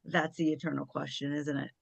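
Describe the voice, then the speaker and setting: Brief: actress for overachieving First Lady, slightly low register, smug yet gracious, composed, comic play. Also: vocal fry, heavily acted. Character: polished, self-satisfied, overachieving First Lady. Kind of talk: comedy play table read.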